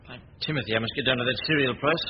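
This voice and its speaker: A man playing an urbane gentleman novelist and amateur sleuth in a 1940s radio drama, with a breezy, wry manner. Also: mid-range voice, rapid-fire, natural voice. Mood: dry, irritated. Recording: dull, noisy old 1940s radio recording.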